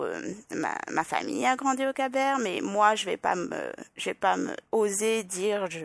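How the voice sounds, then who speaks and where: A woman's voice: on the low side, vocal fry, no room sound. A young adult woman with a Parisian French accent, speaking thoughtfully as a podcast guest, with frequent restarts and hesitations. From Île-de-France, France. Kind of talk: reflective personal interview.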